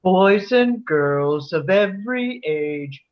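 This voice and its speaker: A woman playing a spooky Halloween creature, beckoning children in a drawn-out way, on a muffled recording. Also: lowish voice, animated. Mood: eerie, inviting. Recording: dull, muffled sound.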